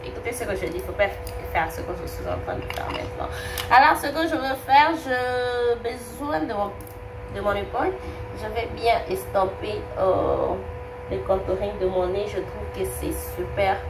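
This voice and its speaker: A woman's voice: mid-range voice, normal speed. A young woman with a cold, chatting through a makeup tutorial and often repeating herself. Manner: chatty, repeats words, many fillers. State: has a cold. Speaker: beauty vlogger. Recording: roomy, distant, noisy home recording.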